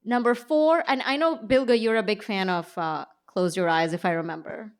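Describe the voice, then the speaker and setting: A woman's voice: medium pitch, normal speed. A woman co-hosting a live panel, presenting to an audience in a conversational way. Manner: speaks to an audience. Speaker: co-host and magazine editor. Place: live event on stage before an audience.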